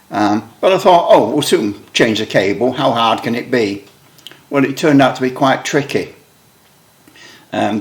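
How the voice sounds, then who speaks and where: Wry British man showing his radio gear. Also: mid-range voice. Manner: rambling, wry, self-deprecating. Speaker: radio hobbyist video maker. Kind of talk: casual show-and-tell explanation.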